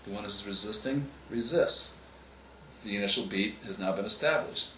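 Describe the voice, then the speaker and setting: A male author answering interview questions, explaining in a measured way and often restarting his phrases. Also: on the low side, bright, forceful tone, a little hiss or hum. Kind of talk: interview answers on writing craft. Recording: echoey room with faint ambience.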